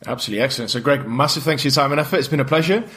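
A man interviewing a guest, asking hesitant, halting questions while losing his voice. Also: medium pitch, a bit bright. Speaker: podcast interviewer.

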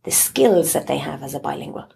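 An Irish woman in her thirties talks through her views in an interview, pausing and restarting as she explains. Her voice sits a little low with a noticeable vocal fry, and a faint hiss runs underneath. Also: animated, dark tone. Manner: thoughtful, explanatory, with restarts and asides. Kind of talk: conversational interview.

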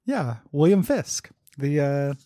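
A man's voice: on the high side, sing-song, slow pace, warm tone, no room sound, clean studio sound. Giggly, rambling male podcast co-host. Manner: rambling, laughs often, riffs on pop culture. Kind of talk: casual chat.

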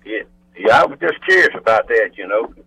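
A man's voice: on the low side, very animated, fairly quick, creaky, pushed and bright, husky. A man calling a radio show on the phone, chatting in a folksy way and repeating himself. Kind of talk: casual phone-in chat. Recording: telephone call over radio.

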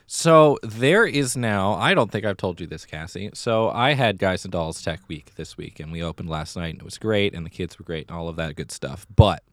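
A man with a low voice talks casually as a podcast co-host. He tells stories in a chatty, slightly theatrical way, and the sound is dry with very little room in it. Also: moderate pace, few pauses, no room sound. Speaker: middle school theater director. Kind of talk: casual conversational podcast chat.

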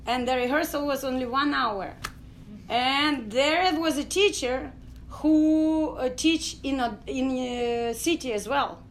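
A middle-aged woman from Ukraine with a Ukrainian accent, telling her story as an interview guest in halting English full of fillers. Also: sits high, a little hiss or hum, poor audio. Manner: halting non-native English with many fillers. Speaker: guest, dance company founder.

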